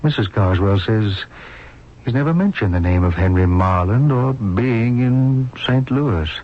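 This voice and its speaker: An actor playing a grave, troubled doctor in a 1970s radio mystery, speaking in a somber, deliberate way. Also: male voice, on the low side, animated. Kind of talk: 1970s radio drama acting. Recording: dull lo-fi old radio recording.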